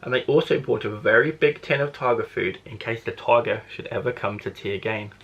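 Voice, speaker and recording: A man's voice: mid-range voice, a little fast, a bit bright, breathy edge, highs cut off. A man, a primary school teacher, reading a children's storybook expressively to young listeners.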